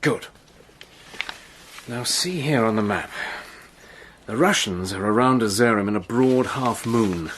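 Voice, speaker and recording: A brisk commanding officer, lively. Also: male voice, lowish voice, a little hiss or hum. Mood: decisive. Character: Dick Hannay, commanding British officer, brisk and resolute. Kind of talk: radio drama acting.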